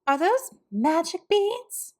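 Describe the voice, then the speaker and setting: Woman acting fretful storybook mother, very high pitch, emphatic, distressed. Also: big pitch swings, takes it slowly, full character voice. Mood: curious. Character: anxious, distressed mother of a sick girl.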